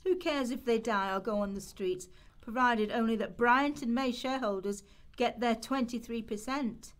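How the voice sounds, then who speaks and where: A woman with a fairly high voice performs scripted readings for a radio play. She delivers historical quotations as dramatic monologue. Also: moderate pace.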